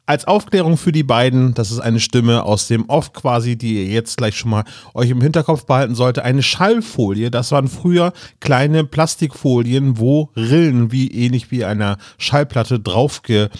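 A man's voice: medium pitch, moderate pace, a little mellow, no room sound, clean studio sound. A man giving explanatory podcast announcements.

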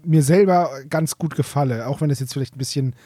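A man's voice: on the high side, fast talker, warm tone, slightly rough, dry recording, clean studio sound. A man co-hosting a podcast, chatting casually and conversationally. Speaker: podcast co-host.

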